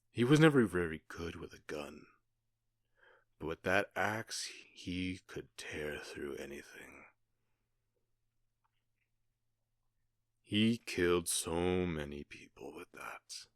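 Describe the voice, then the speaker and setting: A man playing a smug, cruel outlaw gang boss in an ASMR roleplay, taunting with theatrical pauses and mock-polite menace. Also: low voice, no room sound, clean studio sound. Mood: ominous storytelling.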